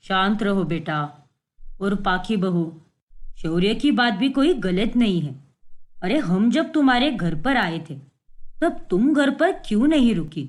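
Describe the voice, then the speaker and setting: A woman voicing a worried middle-aged father who pleads and gently chides. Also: medium pitch, lively intonation, measured pace, pitched below the performer's own voice, close to an everyday voice. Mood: firm.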